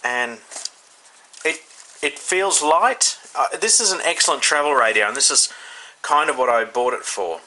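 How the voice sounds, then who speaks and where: A man with an Australian accent giving a casual, unscripted hands-on product review, haltingly thinking aloud. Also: mid-range voice, animated, pushed and bright. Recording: faint background noise.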